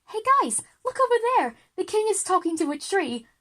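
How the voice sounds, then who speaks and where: A woman voicing excitable, curious schoolchildren in an audio drama, eager and exclaiming. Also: very high voice, normal speed, voice pushed up, exaggerated voice. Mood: excited, cheeky.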